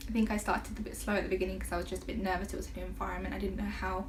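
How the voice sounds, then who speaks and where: A woman in her twenties from the UK, chatting casually to camera in a rambling way, with many fillers and soft laughs. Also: mid-range voice, level tone, racing. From United Kingdom. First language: half Brazilian, Portuguese spoken at home. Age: 20s. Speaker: vlogger. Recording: noticeable background noise.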